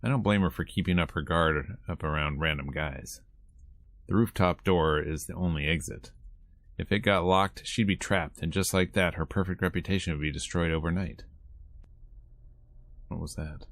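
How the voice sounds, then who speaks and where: Low voiced dude reading visual novel lines n tossing in wry comments, dry close sound. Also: male voice, normal speed, no echo. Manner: breaks off into wry asides and reactions. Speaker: streamer playing and reading a visual novel aloud. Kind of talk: reading game dialogue aloud with casual commentary.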